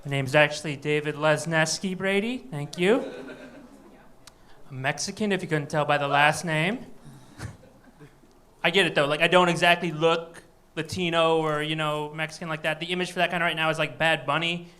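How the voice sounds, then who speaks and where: A man from Michigan working a comedy club crowd, his voice pitched high with a bright, forward edge. He talks in a loose, wry, conversational way into a handheld mic, pitching jokes straight at the room. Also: steady tone, moderate pace. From Michigan, USA. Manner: wry, chatty crowd banter. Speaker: stand-up comedian. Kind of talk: live stand-up set.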